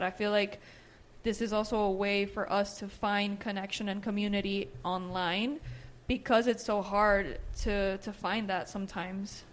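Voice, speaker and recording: A young woman from Nicaragua whose first language is Spanish, co-hosting a therapists' podcast in a relaxed chatty way and leaning on "you know". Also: medium pitch. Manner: conversational, fills with "you know" and "right". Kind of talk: casual chat.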